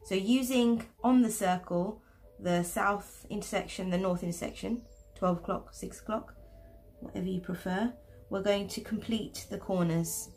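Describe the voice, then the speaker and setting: A woman's voice: medium pitch, normal speed, darkish tone. Chatty woman teaching a drawing tutorial. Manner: chatty, self-deprecating asides. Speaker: art teacher.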